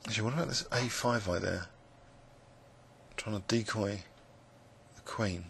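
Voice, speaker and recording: A man with a croaky, gravelly voice, musing hesitantly with many fillers as he commentates his own blitz chess game. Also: on the low side, a little slow, a bit bright, a little hiss or hum. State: croaky voice, clearing throat. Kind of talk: live game commentary, thinking aloud.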